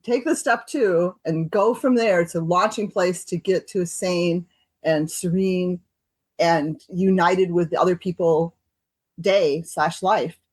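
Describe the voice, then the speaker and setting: A woman co-hosting a recovery podcast, talking thoughtfully and reading short passages aloud with slow pauses. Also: lowish voice, a little mellow, husky, no echo. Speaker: podcast co-host. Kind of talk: reflective recovery group talk.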